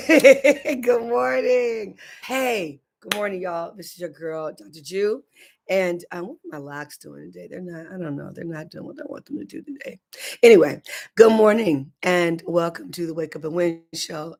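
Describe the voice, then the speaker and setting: A middle-aged woman with a hoarse voice, hosting a morning motivational livestream as a minister and telling a personal story in an earnest way. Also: on the low side, a bit bright. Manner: earnest, storytelling, sometimes emotional. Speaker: minister and show host.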